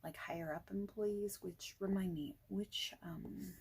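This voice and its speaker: A woman speaking softly and breathily in an ASMR roleplay as a friendly, informal boss, often near a whisper, in a casual, hesitant, rambling manner. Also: medium pitch, fairly even intonation, measured pace, husky, low-quality recording. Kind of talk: soft-spoken ASMR roleplay, informal job interview chat.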